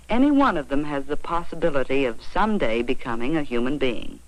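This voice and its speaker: An American woman narrating an educational film in a measured, explanatory, carefully phrased way, on a vintage 1940s soundtrack. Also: low-pitched, no echo, low-quality recording. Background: faint music.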